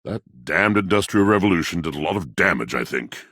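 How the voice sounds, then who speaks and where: Video game role: a haughty, gloomy literary-snob appliance. Low, rough voice, theatrical and archaic delivery, close dry sound. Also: male voice, expressive, brisk, a bit bright, no echo, exaggerated voice. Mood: haughty, pedantic. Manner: pompous, archaic, florid, brooding diction. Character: pompous, melancholy old-fashioned talking washing machine, male, literary snob.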